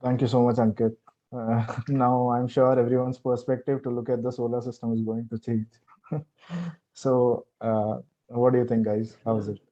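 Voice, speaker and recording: A man's voice: mid-range voice, level tone, moderate pace. A man with an Indian accent casually hosting a contest and introducing participants. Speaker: contest host. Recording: dull lo-fi audio.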